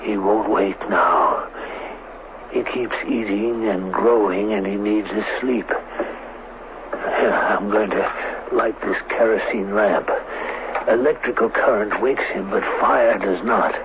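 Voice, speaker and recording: An actor playing an obsessed, proud male scientist in a 1970s radio drama, speaking in a deliberate, grandiose, theatrical manner. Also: on the low side, narrow, tinny audio. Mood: proud, explaining. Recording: noisy, thin 1970s radio broadcast.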